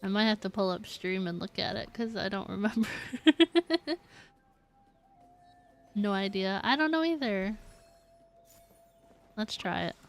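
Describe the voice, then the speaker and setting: A woman's voice: medium pitch, measured pace, pauses often. A woman giving casual live gameplay commentary, giggly and thinking aloud as she plays. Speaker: video game streamer. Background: game music.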